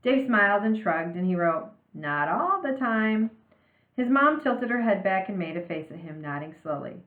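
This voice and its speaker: A woman reading a children's story aloud in an expressive storytelling style. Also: on the low side, fairly quick, phone-quality sound. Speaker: reader of a children's novel aloud. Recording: roomy, band-limited home recording.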